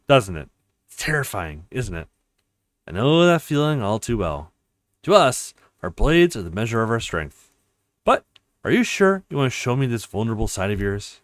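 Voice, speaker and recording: A cocky young male fighter from a visual novel, read out by a man. He taunts with swagger and his pitch jumps around in an animated way. The sound is dry and close. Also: dry recording.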